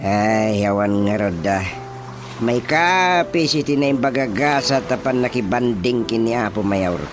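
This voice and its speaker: Guy acting older ilocano dad in a radio drama, really animated pitch, music under it. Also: a little slow, close to an everyday voice. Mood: thoughtful, doubtful. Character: older father figure weighing village politics.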